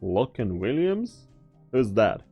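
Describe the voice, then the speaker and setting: A man's voice: lowish voice, sing-song, slow pace, slightly rough, lo-fi sound, soft background music, exaggerated voice. A man playing a deferential subordinate who questions his boss, in an amateur game fan dub.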